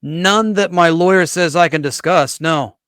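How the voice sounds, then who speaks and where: A man's voice: a little high, a little slow, a bit bright, smooth. A man appearing as a guest comedian on a podcast, making dry, self-deprecating quips. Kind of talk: casual comedy podcast chat.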